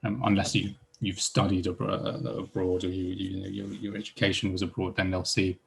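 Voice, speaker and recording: A man's voice: sits low, little pitch movement, a little fast, vocal fry, a little hiss or hum. A man from Iran speaking on a panel as a psychologist, hesitant and full of repeated words and restarts. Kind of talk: panel discussion.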